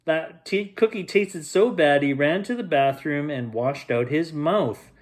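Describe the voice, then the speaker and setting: A man's voice: fairly high pitch, unhurried. A man hosting a bedtime story, reading to children expressively and playfully. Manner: expressive, with playful repeated sound effects.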